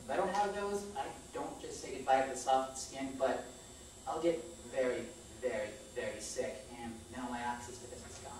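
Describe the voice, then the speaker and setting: A woman's voice: rumbling low, normal speed, a little mellow. A woman in her thirties reading a candid, emphatic personal monologue on a stage. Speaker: spoken-word storyteller on stage. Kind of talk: personal monologue read aloud to an audience. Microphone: far camera microphone. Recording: echoey theater, distant mic, faint noise.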